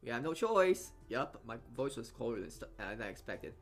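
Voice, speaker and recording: A man's voice: medium pitch, sing-song, a little fast. A man reading a visual novel's narration aloud as a let's player, often stumbling over words and correcting himself, with asides of his own. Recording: game music behind the voice. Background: game music.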